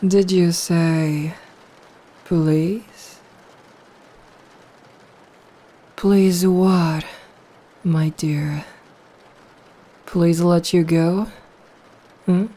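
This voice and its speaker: A woman playing a seductive, possessive female vampire in an intimate ASMR roleplay, speaking softly and breathily in a hushed, teasing, coaxing way. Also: fairly low pitch, fairly even intonation, extremely slow, pauses often.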